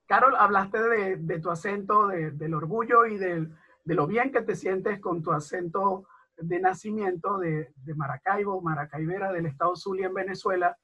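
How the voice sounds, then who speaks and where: Interview host, bright edgy voice, muffled lo-fi audio. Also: medium pitch, moderate pace, husky. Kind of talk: interview questions. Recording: dull, lo-fi line.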